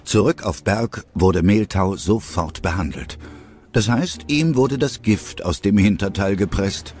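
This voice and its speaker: A man's voice: lowish voice, animated, unhurried, a little mellow. A man narrating a scripted children's adventure story as its narrator.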